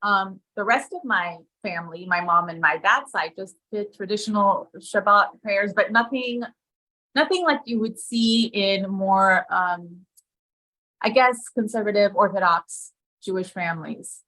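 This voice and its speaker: A woman's voice: medium pitch, measured pace, pushed and bright, rough recording. A woman from Israel who moved to America young, speaking casually as an interview guest, informal and often thinking aloud. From born in Israel, moved to America as a child. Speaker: guest, community leader and activist.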